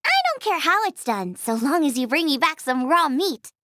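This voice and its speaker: A woman voicing an eager, bubbly young girl chef in a video game, with a girlish voice and an excitable, chirpy delivery. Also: normal speed, background noise. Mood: bubbly, excited. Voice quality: high, girlish. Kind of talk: video game character acting.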